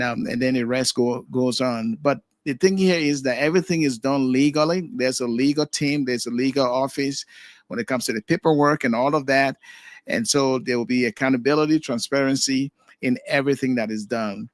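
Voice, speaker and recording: A man's voice: mid-range voice, no echo. Guy hosting a podcast, kinda hesitant, um and uh a lot, dry sound. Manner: relaxed, hesitant, fills pauses with um and uh. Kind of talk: casual podcast discussion.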